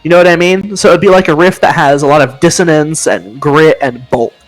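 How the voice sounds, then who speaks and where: A man with a high voice co-hosting a casual podcast chat, explaining with enthusiasm and humming riff sounds. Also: a little fast, soft background music, low-quality recording. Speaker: podcast co-host.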